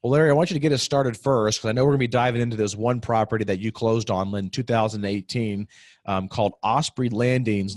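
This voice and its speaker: A man in his thirties hosting an interview podcast from a home office on a desk mic, upbeat and quick. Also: lowish voice, no echo, studio quality. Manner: upbeat, quick, scripted-sounding intros. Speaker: podcast host. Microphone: desk podcast mic on video call.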